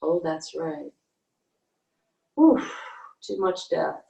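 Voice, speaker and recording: A woman's voice: sits low, sing-song, extremely slow, creaky, warm tone. Older woman in a roomy echo. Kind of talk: casual chat. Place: indoor room on a video call. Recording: roomy echo on video call.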